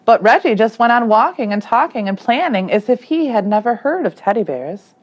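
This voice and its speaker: A woman teacher reading a children's story aloud expressively, with dramatic emphasis and deliberate phrasing. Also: a little high, big pitch swings, dull audio. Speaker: third grade teacher.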